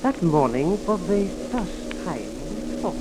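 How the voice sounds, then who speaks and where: An actor playing a pedantic, polite professor and amateur sleuth in a 1940s radio mystery, speaking a little hesitantly. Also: male voice, sits high, very animated, unhurried, very noisy. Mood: measured, reminiscent. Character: slightly pedantic, smallish gentleman psychology professor, amateur sleuth. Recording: old 1940s radio broadcast.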